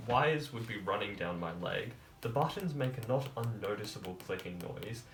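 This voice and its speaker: A man's voice: lowish voice, rough recording. A young man narrating a DIY project casually, with dry, self-deprecating humour. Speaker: maker presenting a DIY project.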